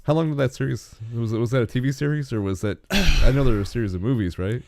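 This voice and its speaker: A man's voice: medium pitch, fast talker, darkish tone, dry recording. A man chatting casually as a podcast co-host, easygoing, tossing in short questions and quips. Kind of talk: casual chat.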